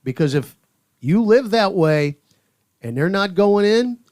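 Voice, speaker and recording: A man who calls games on radio, chatting on a sports podcast with measured, drawn-out emphasis. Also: high voice, lively intonation, darkish tone, no room sound, clean studio sound. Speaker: radio play-by-play announcer. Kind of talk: casual sports podcast chat.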